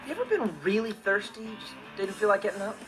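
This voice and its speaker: An earnest teenage stepbrother in a sitcom. His voice sits fairly high, and he is wordy, animated and quick to turn indignant. Music is clearly heard behind him. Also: low-quality recording. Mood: chatty. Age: teenager to young adult. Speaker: sitcom lead. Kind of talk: sitcom monologue to audience.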